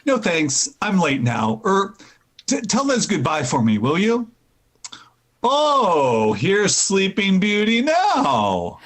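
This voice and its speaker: A man's voice: sits high, wildly swooping pitch, takes it slowly, fairly bright tone, exaggerated voice. A man playing a breezy, teasing, cheerful husband in 1940s radio comedy style.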